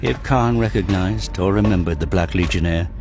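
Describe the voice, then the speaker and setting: A man with a deep, low-pitched voice narrates an audio drama. His delivery is scripted, measured and grave. Music plays clearly behind him.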